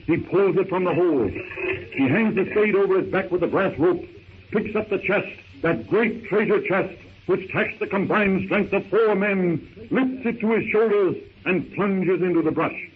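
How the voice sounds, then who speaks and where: A man's voice: fairly high pitch, narrow, tinny audio, natural voice. A man narrating a 1930s radio adventure serial in a theatrical, suspenseful, declamatory manner. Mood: dramatic. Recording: thin, noisy 1930s radio recording.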